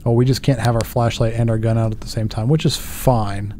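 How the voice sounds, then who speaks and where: A man's voice: medium pitch, fairly even intonation, brisk, a little mellow, soft background music. A man in his thirties giving casual let's play commentary, thinking aloud and asking himself questions as he goes. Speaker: gaming YouTuber. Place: indoor home setup.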